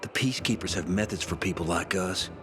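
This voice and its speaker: A man acting a wisecracking, fast-talking scoundrel of a prisoner in a video game cutscene, joking and wheedling like a born storyteller. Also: sits low, lightly acted. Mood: sardonic. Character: wisecracking male prisoner, fast-talking scoundrel who jokes through misery. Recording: music behind the voice.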